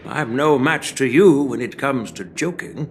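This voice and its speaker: A man voicing a genial older elder in a video game, speaking in a measured, courtly, wryly teasing way. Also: medium pitch, animated, faint music behind. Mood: proud, genial. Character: genial older male elder, wry grandfatherly patron. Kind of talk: dubbed video game cutscene dialogue.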